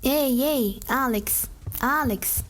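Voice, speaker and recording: A woman's voice: high-pitched, very slow, faint music behind. A woman playing a sweet, eager young vampire sister in an ASMR roleplay, talking in a chatty, girlish way.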